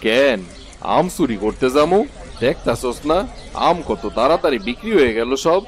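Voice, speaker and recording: A man's voice: mid-range voice, animated, moderate pace, natural voice. A man voicing a scheming, boastful village thief in a cartoon tale. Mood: sly. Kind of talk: cartoon fairy tale acting.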